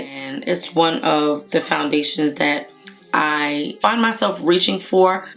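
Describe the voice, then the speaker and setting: A woman with a deep, low voice that sounds hoarse, talking in a loose, rambling way straight to the camera. She is in her thirties and reviews products in a home room, with soft music playing underneath. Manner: chatty, rambling, warm greetings to viewers. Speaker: beauty vlogger. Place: home room with makeup shelves, indoors. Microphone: camera at desk distance, framed head and shoulders.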